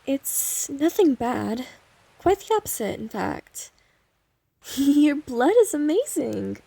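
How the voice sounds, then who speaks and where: A woman playing an obsessive female vampire lab technician in an ASMR roleplay, coy, softly teasing and eerily polite as she explains herself to the listener. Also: high voice, sing-song, slow pace, creaky, no echo, full character voice. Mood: coy, reassuring.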